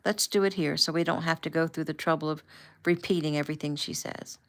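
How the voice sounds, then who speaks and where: A woman voicing a calm, authoritative man who speaks in a steady, reassuring and firm way. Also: sits low, fast talker. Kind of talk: audiobook character voice.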